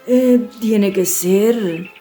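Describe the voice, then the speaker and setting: A woman's voice: medium pitch, drawn-out words, mellow, soft background music. A woman playing an ogre's timid, kind wife in a storybook, hesitant and placating. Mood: nervous, placating.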